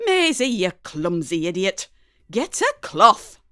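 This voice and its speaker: A woman's voice: mid-range voice, very animated, takes it slowly, fairly bright tone, no room sound, studio quality. A woman voicing a nagging, dramatic, scornful middle-aged mum in an audio short story. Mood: exasperated.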